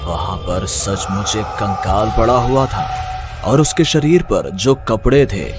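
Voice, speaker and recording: A male narrator reads a scripted horror story. His delivery is animated, with faint music beneath it. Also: fairly low pitch, slow.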